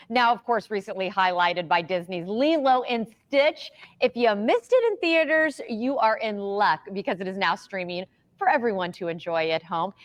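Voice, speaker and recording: A bubbly woman hosting a morning television show, her voice sitting a little high and bright. She is recorded close and dry in the studio, with no room sound around her, and she keeps her questions light and quick with casual asides. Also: dry recording. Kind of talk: upbeat TV interview.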